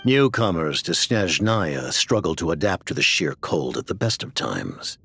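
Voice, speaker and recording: Mysterious calm leader, very deep, gravelly, faint low rumble. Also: male voice, no echo, full character voice. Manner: measured, cryptic and composed. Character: mysterious calm man, commanding and secretive. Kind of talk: video game voice acting.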